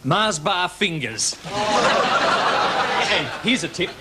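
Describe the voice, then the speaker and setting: High-pitched, animated Australian man, comic cookery presenter. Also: very slow. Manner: animated, theatrical mock-earnest presenting. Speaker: comedy TV cooking presenter. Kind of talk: mock cookery demonstration, sketch comedy. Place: TV studio kitchen set. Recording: noisy old TV audio, studio audience. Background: audience noise and laughter, clicks.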